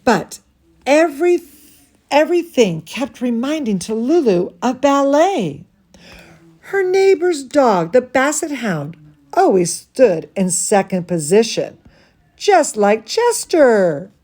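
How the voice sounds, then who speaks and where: A woman reading a bedtime story to children as their dance teacher, theatrical and gushing, with drawn-out emphasis. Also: on the high side, sing-song, darkish tone.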